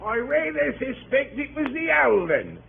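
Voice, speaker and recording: An actor playing a cheeky, unflappable Cockney manservant in a 1930s radio drama, droll, wordy and mock-respectful. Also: male voice, very high-pitched, expressive, a little slow, gravelly, full character voice. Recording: tinny, noisy 1930s radio broadcast.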